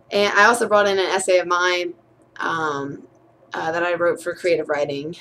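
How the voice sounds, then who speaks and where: A woman's voice: lowish voice, a bit bright, a little hiss or hum. A young woman, a college student, talking candidly in a casual video diary about her writing, chatty with frequent fillers and restarts. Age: young adult.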